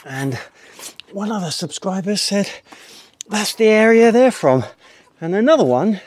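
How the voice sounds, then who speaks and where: Older male gardener, slow-paced and chatty, recorded outdoors on a distant camera mic. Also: high voice, lively intonation, darkish tone, dry recording. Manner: chatty, explains as he works. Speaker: allotment gardener. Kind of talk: casual gardening vlog. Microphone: camera mic on a tripod at a distance.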